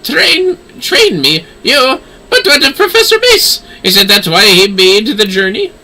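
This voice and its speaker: A man voicing a flustered, excitable astrology teacher from a game, dramatic and easily shocked. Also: sits high, measured pace, heavily acted. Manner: stammering, dramatic. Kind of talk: game dialogue read-aloud.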